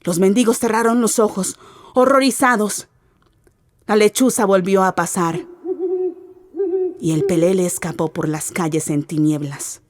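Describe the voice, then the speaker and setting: A woman narrates a literary radio drama in a dry, close recording. Her storytelling is theatrical and ominous, set at a medium pitch. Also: steady tone, measured pace, pauses often, no echo.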